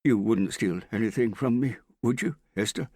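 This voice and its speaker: A male narrator plays a frightened, suspicious man who drinks and hides from the world. His voice rides high and urgent, pleading and easily roused to anger. It is audiobook character work, closely and dryly recorded. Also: moderate pace, no room sound, studio quality, lightly acted. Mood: anxious pleading. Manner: agitated, suspicious, pleading, prone to shouting. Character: paranoid, shaky, hard-drinking frightened man in hiding.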